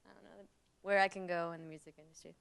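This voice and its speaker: A woman's voice: lowish voice, fairly even intonation, fast talker, clear, resonant tone. A young woman student around twenty sharing her experience at a panel, eager and a little hesitant. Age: about 20. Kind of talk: panel discussion.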